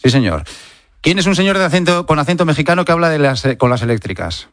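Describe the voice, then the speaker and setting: A man's voice: fairly high pitch, sing-song, fast talker, no room sound, studio quality. A man with an accent from Spain putting informal, playful quiz questions as a radio interviewer. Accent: Spanish, from Spain. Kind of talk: quick-fire quiz interview.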